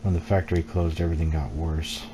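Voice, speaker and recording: A man's voice: rumbling low, flat delivery, quick pace. A man reading the text of a horror game aloud as scripted storytelling while streaming it. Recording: clear background noise.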